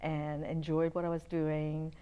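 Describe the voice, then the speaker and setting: Older woman from Uganda, interview guest, low and mellow, earnest, halting talk. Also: completely flat delivery, clear, resonant tone, highs cut off. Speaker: guest, dentist.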